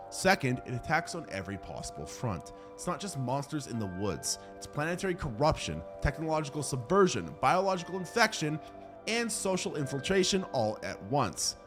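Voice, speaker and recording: A man narrating a scripted horror countdown as host, dramatic and emphatic with sardonic asides. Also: mid-range voice.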